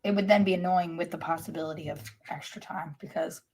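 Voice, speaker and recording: Lower-pitched woman thinking aloud. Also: steady tone, fast, a crowd in the background. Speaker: podcast panelist. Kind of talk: casual sports chat.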